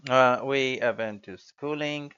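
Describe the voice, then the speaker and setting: A man with an Indian accent giving hesitant, halting answers as a candidate in a spoken exam, on a dull recording. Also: mid-range voice, a bit bright, smooth. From Mumbai, India. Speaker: speaking test candidate. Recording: dull lo-fi audio.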